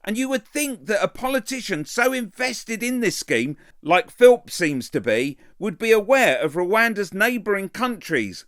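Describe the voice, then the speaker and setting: A man's voice: sits high, measured pace, few pauses, dry recording, studio quality. A man narrating political clips with sarcastic, mocking commentary. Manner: mocking, lively delivery. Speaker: channel host narrating clips.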